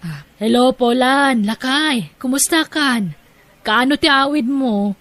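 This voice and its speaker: Gentle, slow-spoken devoted wife. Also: female voice, sits high, animated, no echo, full character voice. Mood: affectionate, calm. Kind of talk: audio drama acting.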